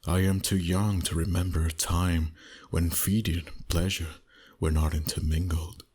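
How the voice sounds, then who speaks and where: A man playing a seductive, dominant vampire boss in an intimate ASMR roleplay, breathy and often near a whisper, teasing slowly and deliberately. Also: deep voice, level tone, a little mellow. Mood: calm, persuasive. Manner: slow, deliberate, flirtatious.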